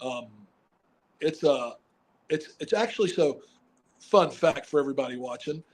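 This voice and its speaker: A Southern American man talks as a podcast guest in a slow, drawn-out, easygoing drawl. His voice sits a little higher than most men's, and he comes across as chatty and relaxed. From southern United States. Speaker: guest wrestler. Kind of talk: casual interview chat.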